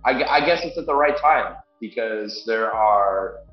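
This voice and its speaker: A man's voice: on the low side, animated, a little slow, soft background music. Congested young man, echoey lo-fi sound. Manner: conversational, frequent ums and restarts. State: congested nasal voice. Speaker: sports podcast co-host. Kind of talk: casual sports chat. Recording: echoey room, dull lo-fi sound.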